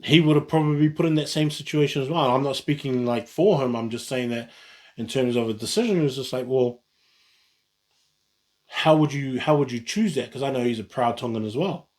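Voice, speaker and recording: A man's voice: mid-range voice, fairly quick, a little mellow. A man, talking casually on his own and often restarting his sentences. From New Zealand. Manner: rambling, frequent restarts and self-corrections. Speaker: vlogger. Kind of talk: casual solo talk.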